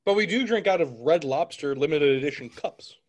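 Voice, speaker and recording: A man's voice: on the high side, lively intonation, fairly quick. A man co-hosting a casual podcast, joking about himself and talking through a home interface mic. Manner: joking, self-deprecating. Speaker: podcast co-host. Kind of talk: casual chat. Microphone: home audio interface mic.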